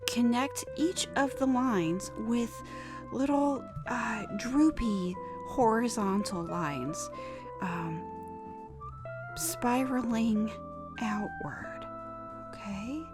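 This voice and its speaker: A woman playing an awkward, wistful drawing teacher on a macabre comedy podcast, speaking in a halting, deliberate way. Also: a little high, expressive. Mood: halting, patient. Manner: halting, deliberate, hesitant instructor delivery. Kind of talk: scripted horror-comedy podcast monologue, mock drawing lesson. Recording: music behind the voice.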